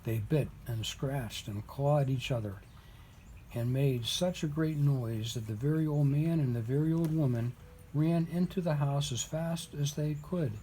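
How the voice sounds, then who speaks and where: A man reading a storybook aloud as a father would, with deliberate, emphatic storytelling. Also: mid-range voice, warm tone, a little hiss or hum, poor audio.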